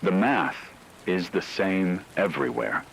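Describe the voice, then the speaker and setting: A man playing a grave, formal radio news anchor, reading an emergency broadcast in a measured, authoritative way. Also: low voice, animated, vocal fry, a little hiss or hum, exaggerated voice, poor audio. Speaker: news announcer.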